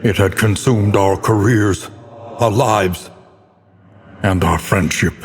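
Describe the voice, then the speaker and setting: Very deep, grim gothic narrator. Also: male voice. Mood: bitter, mournful. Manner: grave, ornate, ominous, deliberate delivery. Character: grim, haunted male scholar narrating cosmic horror. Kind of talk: theatrical gothic horror game narration. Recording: long hall reverb, noisy ambient bed.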